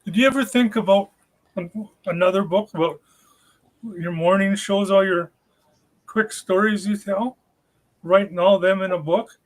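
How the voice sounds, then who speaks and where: A man's voice: high-pitched, unhurried, long pauses, poor audio. A man in his sixties on a panel, plainspoken and encouraging. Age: 60s. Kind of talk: casual panel chat.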